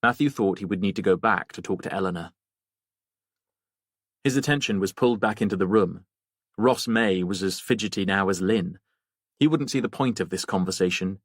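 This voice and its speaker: Guy reading a detective audiobook, lower voice, dry studio sound. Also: moderate pace, long pauses, dry recording. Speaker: audiobook narrator. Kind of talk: scripted narration of a mystery novel.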